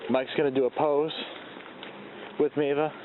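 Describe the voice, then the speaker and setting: A man's voice: fairly high pitch, level tone, normal speed. A man hosting a hiking vlog, chatting upbeat as he guides viewers along a wooded mountain trail, recorded on a handheld camera. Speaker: vlog host. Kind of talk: casual hiking vlog narration. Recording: tinny lo-fi with outdoor noise.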